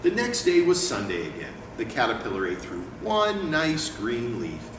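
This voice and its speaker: A man presenting a picture book read-aloud and talking informally as an educator, with an expressive delivery. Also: medium pitch, moderate pace. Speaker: educator and book company owner reading aloud. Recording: echoey room, distant mic, clear background noise.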